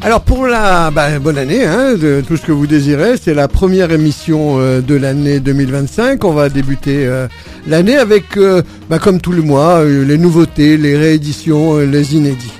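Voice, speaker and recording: A man's voice: on the high side, normal speed, darkish tone, soft background music. A man hosting a jazz radio show in a studio, presenting casually with many hesitations and fillers.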